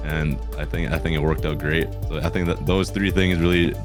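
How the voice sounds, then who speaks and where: A young man with a deep voice, answering interview questions casually, with fillers and restarts. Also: a little fast, faint music behind. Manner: relaxed, rambling with fillers and restarts. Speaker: guest, gaming creator.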